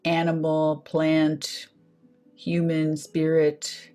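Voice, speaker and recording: A woman guides a meditation in a fairly low voice, speaking very slowly. She is gentle and soothing, leaving space between short phrases, with music playing behind her. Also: monotone.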